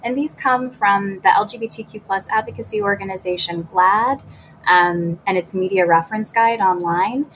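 A woman speaking on a video call panel, giving a clear, measured explanation. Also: mid-range voice, a bit bright, slight background noise, low-quality recording.

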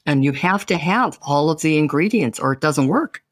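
Enthusiastic man with a higher voice. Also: lively intonation, moderate pace, husky, no room sound, clean studio sound. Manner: enthusiastic and encouraging, talks at length. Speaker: podcast host. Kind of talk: casual interview conversation.

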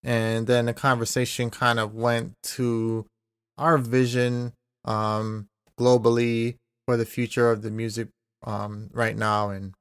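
A man hosting a podcast, recapping the last episode in a steady, explanatory way. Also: mid-range voice, slow, smooth, dry recording, studio quality. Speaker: podcast host.

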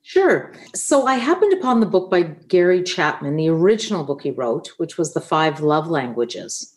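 A woman's voice: low-pitched, sing-song, moderate pace. A woman from Canada whose first language is Italian, guesting on a business podcast and explaining her ideas through anecdotes. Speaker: guest expert. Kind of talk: podcast interview.

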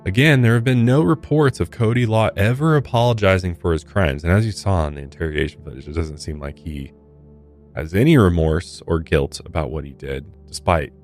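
An American man hosting a true crime podcast discussion, talking in a measured, conversational, hedging way. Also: low voice, darkish tone, faint music behind. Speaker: podcast host.

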